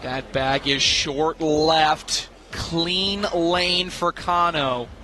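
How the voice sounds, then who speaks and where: A man with a high voice gives live sports analysis. He spells out the stakes with dramatic, emphatic phrasing, over clearly noisy venue ambience. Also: fairly even intonation, very slow, pushed and bright. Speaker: color analyst sports commentator. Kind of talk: live TV analysis of a cornhole championship. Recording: clear venue noise behind the voice.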